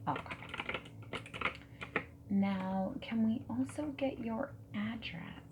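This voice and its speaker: A woman playing a friendly, whimsical dating-service consultant in a gentle ASMR roleplay, soft-spoken and breathy, often slipping into a whisper. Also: fairly high pitch, lo-fi sound. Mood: friendly, attentive. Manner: gentle, reassuring, chatty, unhurried. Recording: faint background hiss, keyboard typing. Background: mechanical keyboard typing.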